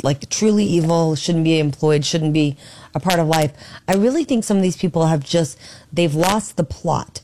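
A woman filling in as a radio talk host from her home studio, hoarse and losing her voice, talking in a chatty, opinionated way. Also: low-pitched, darkish tone, a little hiss or hum. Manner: chatty and opinionated, thinking aloud. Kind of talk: casual talk radio commentary.

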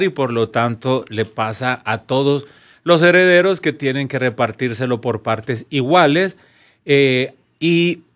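A Honduran man speaks on talk radio in a mid-pitched voice. His delivery is conversational and measured, like a legal adviser laying things out for listeners. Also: fairly bright tone. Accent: Honduran Spanish.

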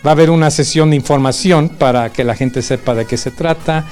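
A man's voice: a little high, a little fast, darkish tone, soft background music. A man from Mexico, director of a bagpipe band, explaining patiently as a guest. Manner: patient and informative, with fillers.

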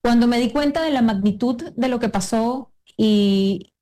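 A woman's voice: on the high side, measured pace, dark tone. A woman in her thirties from Venezuela, answering interview questions earnestly, often restarting and hesitating.

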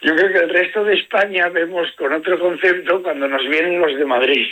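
A man with an Asturian Spanish accent, a comedian guest telling stories in a relaxed interview, laughing often and shifting between slow, stretched phrases and quick bursts. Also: fairly high pitch, highs cut off. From Asturias, Spain. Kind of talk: casual interview chat.

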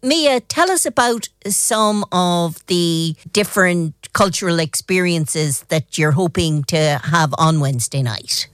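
A woman's voice: lowish voice, lively intonation, slow, few pauses, a bit bright, no room sound, clean studio sound. A woman working as a radio news reporter, presenting a report and asking interview questions.

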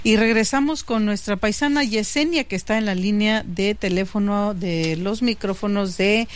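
A woman's voice: medium pitch, normal speed. A woman from Oaxaca with a Mexican accent, chatting casually as a live community radio host.

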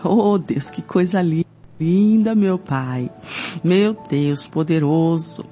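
Casting: Brazilian evangelical prayer leader, mid-pitched, fervent and pleading, constantly invoking God, music underneath. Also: takes it slowly, warm tone. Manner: fervent, pleading, punctuated by repeated invocations. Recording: dull lo-fi sound with music behind.

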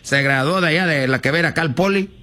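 A man's voice: on the high side, rapid-fire, noisy background, lightly acted. A man playing a chatty, folksy rancher with a Michoacán Mexican accent on a comedy radio show, rambling and stammering as he goes. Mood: upbeat, chatty. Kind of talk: comic prank call and radio banter.

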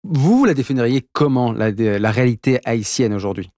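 Mellow man, dry studio interview voice. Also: mid-range voice, lively intonation, brisk, dry recording. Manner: conversational, probing, short affirmations. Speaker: radio interviewer. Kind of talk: interview questions.

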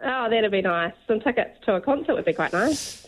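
A woman's voice: fairly high pitch, animated, a little fast, rough recording. Chatty Kiwi woman calling in. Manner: self-deprecating, chatty. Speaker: caller. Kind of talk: phone-in chat.

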